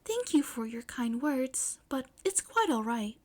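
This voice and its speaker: Gracious teenage girl, performed by a woman, high-voiced, formal and a bit hesitant, for an audio story. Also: very animated, measured pace, a little mellow, heavily acted. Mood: flustered, courteous. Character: polite, gracious, flustered teenage schoolgirl.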